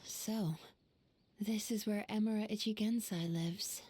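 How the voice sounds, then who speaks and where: A woman voicing a gentle, composed young healer in an anime game, with a soft, breathy voice. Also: medium pitch, fairly even intonation, unhurried. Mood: relieved, calm.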